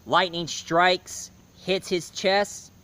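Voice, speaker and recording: A man giving a casual spoken review, hoarse, rambling and correcting himself mid-sentence. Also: sits high, steady tone, extremely slow, pushed and bright. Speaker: movie and comics reviewer.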